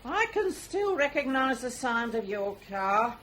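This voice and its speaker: A woman's voice: a little high, big pitch swings, measured pace, a bit bright, slight background noise. An older actress playing a blunt, grumbling elderly mother who scolds and nags in a radio drama. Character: blunt, nagging elderly working-class mother.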